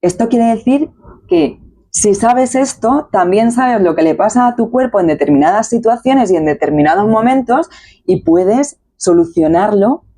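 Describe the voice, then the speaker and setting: A woman's voice: a little high, animated, normal speed, warm tone. A woman with a Manchego Spanish accent, co-hosting a casual podcast conversation and making her points emphatically. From Castilla-La Mancha, Spain. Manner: emphatic, agrees constantly while the other talks. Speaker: podcast co-host.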